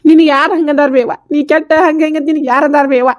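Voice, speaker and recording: Woman doing worried blunt son, high quick voice, keeps pressing questions, north karnataka accent, family drama. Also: steady tone, close to an everyday voice. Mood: anxious pleading. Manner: insistent, questioning. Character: concerned, blunt grown son soothing his mother. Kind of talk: melodramatic family drama acting.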